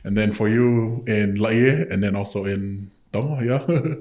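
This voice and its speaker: A man hosting a podcast, asking questions in an unhurried way with filler words. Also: lowish voice, a little mellow, roomy sound. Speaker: podcast host.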